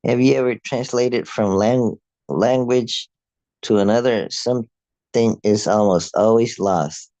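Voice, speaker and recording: A man with an Afrikaans accent, a native Afrikaans speaker, reading a prepared commentary aloud in a measured, halting way. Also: medium pitch, dark tone, no echo, rough recording. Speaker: video host.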